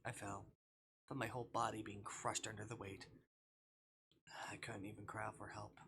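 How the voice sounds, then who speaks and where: A man voicing a shy, self-deprecating student ghost in a visual novel, speaking in a hushed, halting way. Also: lowish voice, flat delivery, background noise, close to an everyday voice. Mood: subdued, ashamed.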